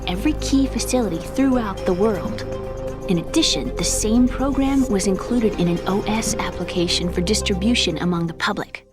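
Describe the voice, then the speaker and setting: A woman's voice: medium pitch, natural voice. An actress voicing an earnest, brainy young woman computer scientist in a video game, lecturing precisely and a little haughtily with pointed questions. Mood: grave, explaining. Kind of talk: scripted game cutscene dialogue.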